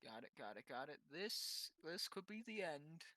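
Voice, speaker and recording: Bright, animated man playing a tabletop game. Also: a little high, moderate pace, no echo. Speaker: player in a tabletop roleplaying game. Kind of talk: casual game-table chat.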